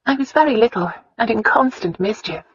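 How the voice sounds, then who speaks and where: Spirited, candid young romance heroine, played by a woman. High, husky voice, earnest delivery, dull roomless sound. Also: animated, fairly quick, no echo. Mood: fond, animated. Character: spirited, candid young wife telling childhood tales. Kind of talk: romance audiobook dialogue.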